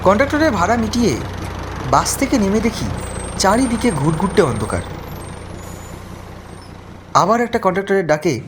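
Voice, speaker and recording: A man's voice: high-pitched, sing-song, moderate pace, long pauses, background noise. A man narrating a first-person horror story from a script. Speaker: narrator of an animated ghost story.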